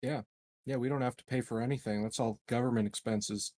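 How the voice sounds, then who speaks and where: Casual man, mid-pitched and dry-sounding. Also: fairly even intonation, fairly quick, mellow, no room sound. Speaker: tabletop game player. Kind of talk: casual chat.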